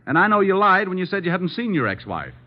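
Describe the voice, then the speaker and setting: An actor playing a wry, flippant but tough private detective in an old-time radio drama. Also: male voice, high-pitched, sing-song, fast. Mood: urgent, accusing. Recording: muffled old radio broadcast recording.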